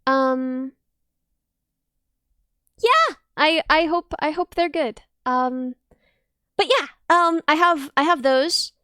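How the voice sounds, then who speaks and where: A young woman streaming and chatting casually with viewers, a bubbly talker with a high, dense, slightly piercing voice who bursts into excited thanks. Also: slow pace, long pauses, no room sound, clean studio sound. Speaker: streamer and musician. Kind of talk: casual livestream chat with viewers.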